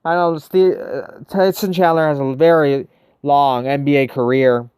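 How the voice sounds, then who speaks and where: A man's voice: fairly high pitch, fairly even intonation, normal speed, a little mellow, clean tone, no room sound. Casual male streamer, clean resonant voice, chatty gameplay talk, very dry sound. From United States. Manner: chatty, answers viewers' messages, thinks aloud. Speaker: livestream host. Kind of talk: casual livestream gameplay chat.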